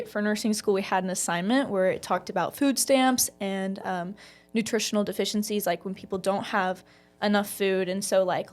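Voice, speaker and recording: A young woman from Texas chatting casually as a student guest, often dropping "like" into her talk. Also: mid-range voice. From Texas, United States. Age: young adult. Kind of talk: casual chat.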